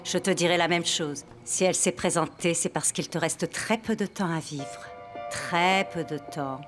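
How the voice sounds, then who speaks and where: An actress playing a doting yet fatalistic wife in a dubbed melodrama, cooing and ominous. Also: female voice, fairly low pitch, moderate pace, over music, close to an everyday voice. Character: doting, fatalistic wife fussing over her husband.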